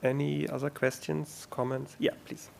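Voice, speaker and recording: A man speaking off the cuff as a conference panel moderator, pausing often mid-sentence to find his words. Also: on the high side, flat delivery, creaky, a little mellow, a little hiss or hum. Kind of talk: unscripted panel discussion. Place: live conference event.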